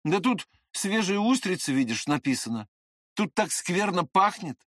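A man's voice: high-pitched, very animated, moderate pace, fairly bright tone, no echo, voice pushed up, exaggerated voice. A man voicing a proud, touchy young man with a defiant, indignant manner in an audiobook. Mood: wary. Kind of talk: dramatized audiobook character voice.

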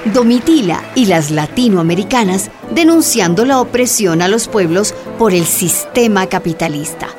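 A woman's voice: mid-range voice, lively intonation, moderate pace, hardly any pauses. A woman narrator reading scripted radio drama narration. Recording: music behind voice.

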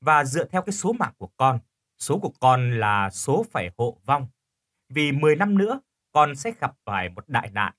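A man voicing a kindly, dignified sixty-year-old village healer in an audio story, with an unhurried, fatherly manner. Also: medium pitch, very animated, fairly bright tone. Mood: calm, knowing.

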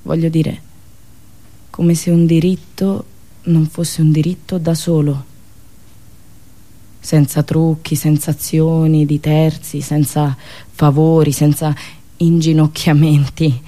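A woman radio host delivering a theatrical, satirical monologue with ironic, deliberate phrasing and repetitions. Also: low-pitched, level tone, mellow.

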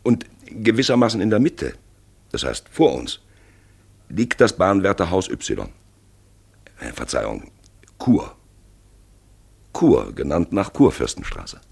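A man playing a brooding, probing narrator in a 1950s radio play, with emphatic phrases and hushed asides. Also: low voice, big pitch swings, takes it slowly, pauses often, exaggerated voice. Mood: intense, reflective. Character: brooding male narrator-guide, probing and dramatic. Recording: husky-sounding 1950s radio recording.

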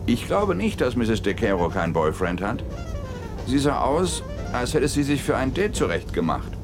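An actor playing a dogged, soft-hearted middle-aged police detective in a radio drama, earnest and insistent. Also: male voice, medium pitch, very animated, normal speed, over music. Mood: brisk, insistent. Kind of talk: German radio drama acting.

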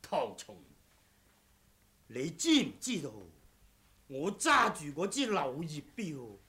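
An actor playing a proud, vengeful martial-arts swordsman in a radio drama, in a theatrical, deliberate manner. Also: sits high, sharp tone, heavily acted. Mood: grim, boastful. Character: vengeful wuxia swordsman, relentless, grimly proud. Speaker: audiobook voice actor.

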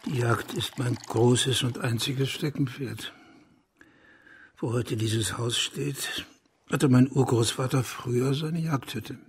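An actor playing a burly, blunt and garrulous hunter from an old landed family in a German radio drama, with a gravelly, husky voice. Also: male voice, medium pitch, slow pace, soft background music. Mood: garrulous, nostalgic. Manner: blunt, rambling, earthy, self-correcting.

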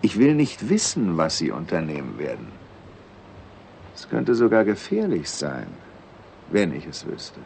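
Slippery state secretary, gravelly and animated, bossy, bit of hesitating, noisy old radio drama tape. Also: male voice, low voice, unhurried, long pauses, exaggerated voice. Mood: evasive, delegating. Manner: hesitant openings, then insistent and officious. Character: evasive senior state secretary, male, pressuring a subordinate. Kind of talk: 1960s German radio drama acting.

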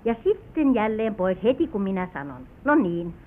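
An actress playing a composed, authoritative midwife in old Finnish radio play style, formal, firm and instructing. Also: female voice, mid-range voice, very animated, a little hiss or hum, natural voice. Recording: tinny, narrow old radio recording.

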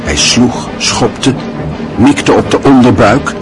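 A man narrating a literary radio drama in a measured, even storytelling manner. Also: medium pitch, over loud music.

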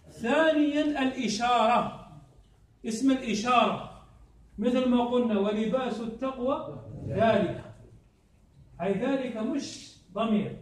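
High-voiced man teaching in an echoey room. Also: a little hiss or hum. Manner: quizzes the class with repeated prompt questions. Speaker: grammar teacher. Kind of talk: classroom lesson. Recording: echoey room, distant mic.

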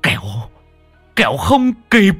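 Narrator voicing a solemn old monk, low, weighty delivery, dry close mic. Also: male voice, very animated, slow, no echo, faint music behind, exaggerated voice. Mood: urgent. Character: grave old Buddhist monk, stern and urgent exorcist.

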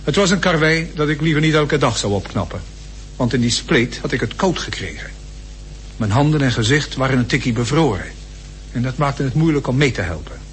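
A man's voice: medium pitch, animated, a little fast, a bit bright, slight background noise, lightly acted. An actor playing a hardy, good-natured British polar explorer, telling his diary in a wry, understated way for a radio play. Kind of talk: radio drama diary monologue.

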